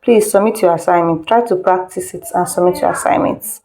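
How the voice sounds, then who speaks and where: A woman's voice: fairly low pitch, fairly even intonation, brisk, warm tone. A woman instructor giving an informal step-by-step tutorial, repeating instructions and checking in with listeners. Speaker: video editing instructor.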